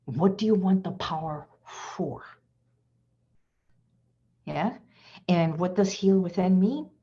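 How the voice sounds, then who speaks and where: A woman's voice: lowish voice, slow pace, mellow. Earnest woman on a dull video call. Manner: earnest, reflective, repeats key phrases. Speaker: hypnotherapist guest. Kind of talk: inspirational talk in an online session.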